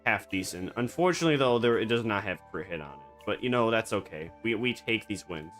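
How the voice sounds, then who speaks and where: A man streaming a game, rambling and joking in absurd deadpan banter. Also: on the low side, a little fast, soft background music. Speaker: VTuber streamer. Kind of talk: casual gaming stream chatter.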